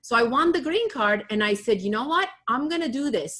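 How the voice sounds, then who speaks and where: A woman's voice: on the high side, animated, brisk, fairly bright tone. A woman from Turkey with a Turkish accent, telling her own story in casual chat as a podcast co-host, often laughing and restarting her sentences. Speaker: podcast co-host, beauty academy owner.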